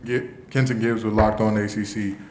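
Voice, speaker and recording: Sports reporter, man, lowish voice, long-winded question, roomy room sound. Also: level tone, normal speed, darkish tone, a little hiss or hum.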